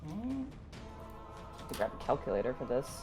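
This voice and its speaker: A woman's voice: extremely low pitch, wildly swooping pitch, moderate pace. A woman chatting casually as a player in a tabletop game, describing what her character does. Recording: music playing behind the voice.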